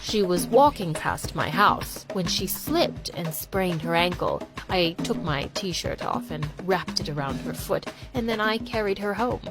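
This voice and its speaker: Woman putting on a smug low-pitched British teenage boy. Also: big pitch swings, measured pace, few pauses, over music. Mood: smug, boastful. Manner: affected, sophisticated, teasing. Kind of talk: dramatized first-person story.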